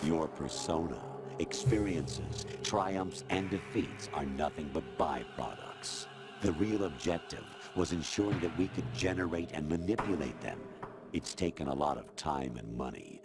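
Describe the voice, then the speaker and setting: A sinister, smug artificial intelligence with the voice of a commanding older man. It is extremely low and gravelly, drawing out its words with cold deliberation. Loud music plays behind this video game villain. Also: heavily acted. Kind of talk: dramatic video game acting.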